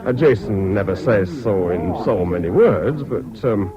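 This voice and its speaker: An actor playing an earnest, credulous English university student in a radio drama, confiding in a hesitant, gushing way. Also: male voice, low voice, animated, a little slow. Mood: hushed, confiding. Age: young adult. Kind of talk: 1970s British radio drama acting. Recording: muffled old radio broadcast, chattering crowd.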